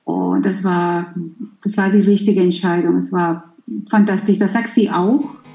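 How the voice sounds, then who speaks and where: A woman's voice: lowish voice, fairly even intonation, unhurried, creaky, dark tone, echoey room, highs cut off, faint music behind. A middle-aged woman whose first language is Spanish, speaking German in a thoughtful, hesitant interview with many fillers. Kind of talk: reflective personal interview.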